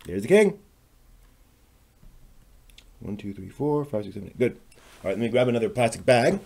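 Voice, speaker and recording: A man casually thinking aloud as he works on a craft, muttering to himself in short, unhurried phrases. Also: mid-range voice, sing-song, creaky, darkish tone. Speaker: maker hosting a craft workshop video.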